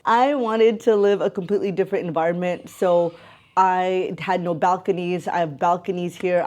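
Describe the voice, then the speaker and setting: A woman's voice: medium pitch, a little mellow. A woman in her late thirties, chatty and upbeat, talking casually to camera in a home vlog. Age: 30s. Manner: chatty, upbeat, laughs often. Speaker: vlogger. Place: home in the Philippines with roosters heard.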